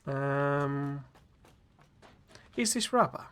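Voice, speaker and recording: A man chats casually while streaming a game, wandering off into long asides full of fillers and restarts. His voice sits at a medium pitch and carries a slight gruffness from a cold. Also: lively intonation, very slow, fairly bright tone. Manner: rambling, chatty, many fillers and restarts. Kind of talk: casual live-stream chat while playing.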